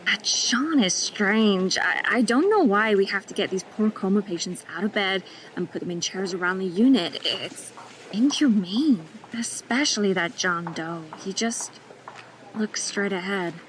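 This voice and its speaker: A woman's voice: medium pitch, measured pace, close to an everyday voice, low-quality recording. Woman doing a shy earnest new nurse, faint hiss behind. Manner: tentative, earnest. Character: hesitant, kind-hearted young female nurse. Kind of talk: audio drama acting.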